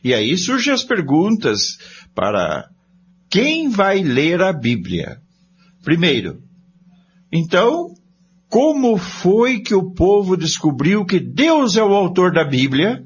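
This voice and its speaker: An actor playing an earnest, polite study-group member in a scripted radio drama, speaking in a deliberate, declamatory manner. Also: male voice, sits high, wildly swooping pitch, breathy edge, heavily acted. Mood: solemn, questioning. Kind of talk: scripted amateur radio drama, reading aloud. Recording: dull lo-fi recording, noisy hum.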